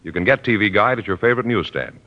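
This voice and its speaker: Male radio announcer, low voice, formal booming delivery, lo-fi recording. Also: fast talker, slight background noise. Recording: dull lo-fi old radio with music.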